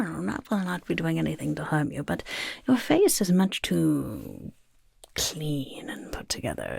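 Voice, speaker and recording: A woman acts out a chaotic little goblin overlord for an ASMR roleplay. The voice is husky and often whispered, unhurried yet swooping up and down. The goblin fusses and bosses eagerly, repeating words. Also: deep voice, no room sound, heavily acted. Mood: eager, scheming. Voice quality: raspy, creaky whisper. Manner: speaks of self in third person, fussy, repeats words. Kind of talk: soft-spoken ASMR personal attention roleplay.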